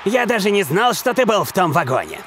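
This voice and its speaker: A man's voice: high voice, sing-song, fairly quick, a bit bright, slight background noise. A man voicing a smug, sneering rival footballer who drawls mockingly in a cartoon. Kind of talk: cartoon dub acting. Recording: music behind the voice.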